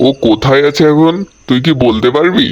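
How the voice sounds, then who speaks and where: A man's voice: a little high, expressive, brisk, a little mellow, lightly acted. A man playing an anxious, determined village father in a cartoon, speaking earnestly. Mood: worried. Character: worried, determined village father seeking his daughter. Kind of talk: cartoon acting. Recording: dull lo-fi audio with clear noise.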